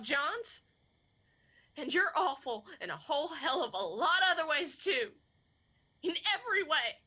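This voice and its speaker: A woman's voice: high voice, bright, forceful tone, dull audio, heavily acted. An actress in a radio drama playing a wounded, bitter young woman with an Appalachian accent, speaking with anguished, folksy emphasis. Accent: Appalachian American.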